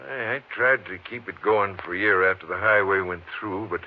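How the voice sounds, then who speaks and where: An actor playing a folksy small-town motel owner in a 1950s radio western, with a rustic, somewhat hesitant way of talking. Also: male voice, low voice, a little fast. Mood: affable. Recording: hissy, muffled old 1950s radio recording.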